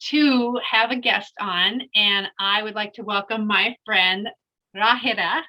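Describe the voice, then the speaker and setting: A woman's voice: mid-range voice, fairly even intonation, a little slow, bright, forceful tone, rough recording. A woman hosting a casual interview podcast, upbeat and eager, asking open questions. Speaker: podcast host.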